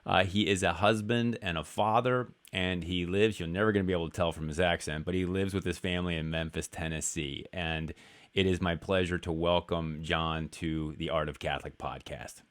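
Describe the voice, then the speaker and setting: A man's voice: sits low, steady tone, moderate pace. A man hosting a podcast, introducing his guest and chatting in a conversational way with many fillers. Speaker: podcast host. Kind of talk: podcast interview.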